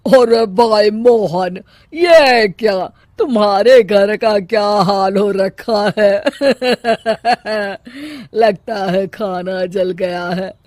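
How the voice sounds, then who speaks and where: Woman voicing an easygoing, unhurried cartoon boss. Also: medium pitch, a little mellow, no room sound, clean studio sound. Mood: genial, reassuring. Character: forgiving middle-aged male boss, easygoing.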